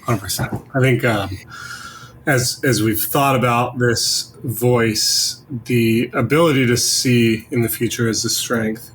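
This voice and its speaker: A man's voice: medium pitch, a bit bright, a little hiss or hum, poor audio. A man hosting a casual business discussion, summing up points in a conversational way. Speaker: podcast host.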